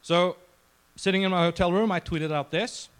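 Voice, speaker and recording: A man's voice: high voice, normal speed, smooth. Norwegian man giving a casual talk. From Norway. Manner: informal, conversational, self-deprecating. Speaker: conference speaker. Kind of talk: casual conference presentation. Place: conference session room before a crowd.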